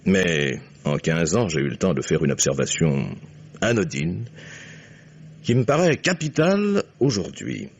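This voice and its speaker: Very deep, deliberate older gentleman. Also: big pitch swings, breathy edge, exaggerated voice. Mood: ironic, triumphant. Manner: courteous, deliberate, suavely ironic. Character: shrewd, commanding older gentleman, a father unmasking a forger. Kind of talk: 1950s French radio mystery acting. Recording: dull vintage radio recording.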